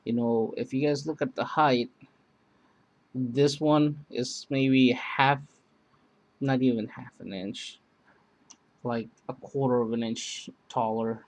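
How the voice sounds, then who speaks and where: A man casually demonstrating and comparing two emergency radios, rambling with many pauses and fillers. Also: medium pitch, fairly even intonation. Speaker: product reviewer. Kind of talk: casual product demo.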